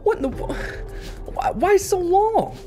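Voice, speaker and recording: A man acts out a driven police detective in an audio drama. He is terse, confrontational and hot-tempered, with a pitch that moves widely. Music plays clearly behind him. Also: big pitch swings, unhurried, mellow, heavily acted. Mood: exasperated. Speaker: voice actor.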